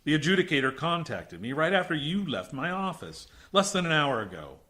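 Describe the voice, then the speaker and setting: An actor playing an indignant, earnest military base commander in a radio science fiction drama, speaking in an emphatic, protesting way. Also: male voice, a little high, sing-song, fairly quick, bright, forceful tone.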